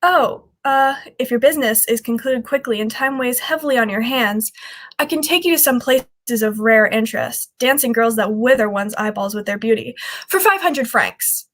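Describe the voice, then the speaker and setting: A woman as a sly, high-voiced underworld hustler. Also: normal speed, fairly bright tone. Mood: confiding, coaxing. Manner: wheedling, playful haggling. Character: charming, scoundrelly young female underworld café proprietor, greedy and teasing. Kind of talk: 1940s radio drama acting.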